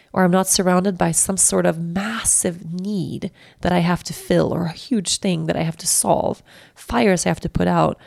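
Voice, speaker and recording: A woman in her thirties from Sweden with a Swedish accent, hosting and talking candidly and reflectively, halting and restarting as she thinks. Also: fairly low pitch, gravelly, no echo, clean studio sound. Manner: candid, halting, self-reflective with frequent restarts and soft laughs. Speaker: podcast host. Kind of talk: personal reflective monologue.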